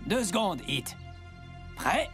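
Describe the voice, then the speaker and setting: A man's voice: high-pitched, very animated, takes it slowly, heavily acted. A man voicing a cocky, bossy young eldest son in a French cartoon dub, boastful and teasing. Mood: mocking. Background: music.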